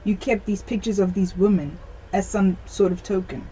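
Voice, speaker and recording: Stern female detective, played by a teenage woman, slightly husky, businesslike, amateur school radio drama. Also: mid-range voice, fairly even intonation, moderate pace, mellow, close to an everyday voice, poor audio. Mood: accusing.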